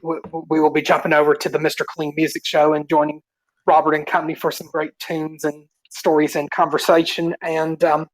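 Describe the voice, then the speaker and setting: A man co-hosting a podcast, politely reading out show plugs in an informal, halting way. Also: fairly high pitch, completely flat delivery, no room sound, poor audio. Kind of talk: informal announcement of show schedules.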